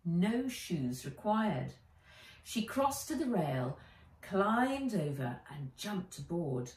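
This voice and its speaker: A middle-aged woman, an author reading aloud from a children's storybook in an expressive narrating style. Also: on the low side, takes it slowly. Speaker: author reading own book. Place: living room or sunroom, indoors. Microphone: camera or phone a few feet away. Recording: roomy, distant home recording.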